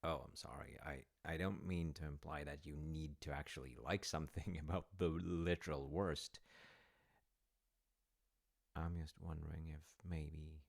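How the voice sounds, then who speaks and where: Male actor as caring dad, middle-aged, deep voice, unhurried pauses, soft reassuring delivery for intimate audio roleplay. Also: steady tone, exaggerated voice. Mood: hopeful, thoughtful. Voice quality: gravelly, low. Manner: hesitant, reassuring, thoughtful with pauses. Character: gentle, attentive, slightly awkward middle-aged dad having tea. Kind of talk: soft-spoken wholesome audio roleplay addressed to listener.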